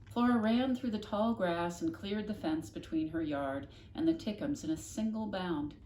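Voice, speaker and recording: A woman reading a children's novel aloud expressively, with dramatic, deliberate emphasis. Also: fairly low pitch, mellow. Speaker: storybook reader, classroom host. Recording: echoey room, faint noise.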